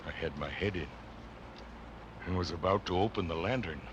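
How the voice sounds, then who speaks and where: Man playing a feverish madman over rumbling noise. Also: normal speed, lo-fi sound, close to an everyday voice. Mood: hushed, tense. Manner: dramatic, swinging from loud declaiming to hushed whispering. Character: mad male murderer feverishly confessing, nervous, cunning and gloating. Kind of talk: classic radio play narration, theatrical horror monologue. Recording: noisy old radio recording, vehicle rumble. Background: vehicle noise from a driving game.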